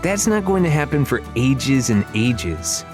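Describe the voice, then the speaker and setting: A man's voice: medium pitch, sing-song, unhurried, music in the background, voice pushed up. Man acting as an earnest young farm boy. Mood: dismissive. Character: eager young farm boy, huffy little brother.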